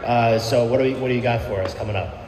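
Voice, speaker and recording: A man's voice: medium pitch, fast talker, a little mellow. A man hosting a radio interview, asking loose, rambling questions and affirming often. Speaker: radio host. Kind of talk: casual interview. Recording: echoey room with noise behind.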